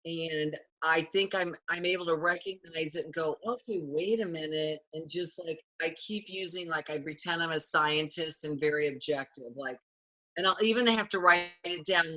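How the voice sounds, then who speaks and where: A woman's voice: low-pitched, sharp tone, no echo, rough recording. A woman, a past client, candidly describes her experience in a casual testimonial, hesitating and saying "like" often. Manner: candid, hesitant, many likes.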